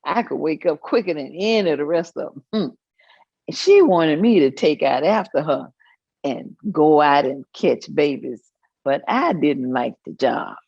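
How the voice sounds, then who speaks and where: Actress doing old southern grandma looking back, lowish voice, folksy with little hums, dry muffled audio. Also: female voice, expressive, measured pace, creaky, a little mellow, husky, dry recording, exaggerated voice. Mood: plainspoken reminiscing. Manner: reminiscing, folksy, punctuated with thoughtful hums. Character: elderly Southern grandmother recalling her midwife mother. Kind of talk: staged reading of oral history monologue.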